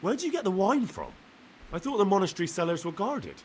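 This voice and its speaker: A wary young novice in a medieval video game. The voice is fairly high and moves very widely in pitch, with an earnest, questioning manner. Clear hiss runs behind it. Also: male voice, sing-song, quick pace. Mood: inquiring. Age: young adult. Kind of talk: video game cutscene acting.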